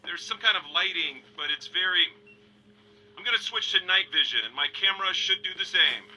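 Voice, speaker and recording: An actor playing an awestruck military sergeant giving a halting report over a radio feed in an audio drama. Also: male voice, sits high, pushed and bright, full character voice. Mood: focused, tense. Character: awestruck military sergeant exploring an alien craft. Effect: radio transmission. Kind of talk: audio drama found-footage acting. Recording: noisy helmet-camera radio feed.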